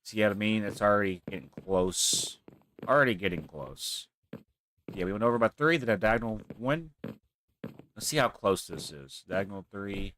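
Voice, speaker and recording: A man with a low, deep voice talks casually while playing a game, thinking out loud as he goes. His nose is stuffy from a cold. Soft clicking sits faintly behind him. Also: animated, normal speed, a little hiss or hum, rough recording. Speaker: gaming channel host. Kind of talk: casual gameplay commentary.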